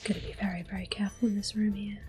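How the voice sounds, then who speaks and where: A woman giving hushed, unhurried ASMR commentary on a video game as she plays it, speaking in soft whispers. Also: mid-range voice, level tone, over music. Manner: hushed, unhurried narration of own play. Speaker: ASMR gameplay host.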